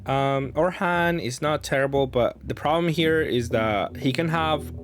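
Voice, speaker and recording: A man's voice: medium pitch, measured pace. A man giving casual, improvised commentary on his own card game, thinking aloud hesitantly and often trailing off. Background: background music.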